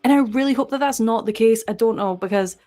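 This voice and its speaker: A woman's voice: on the high side, fast, warm tone. A woman from Scotland whose Edinburgh accent is mixed with American tones, co-hosting a casual live stream. She laughs often and fills her talk with "like". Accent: Edinburgh Scottish mixed with American. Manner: chatty, laughs often, frequent "like". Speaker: podcast co-host.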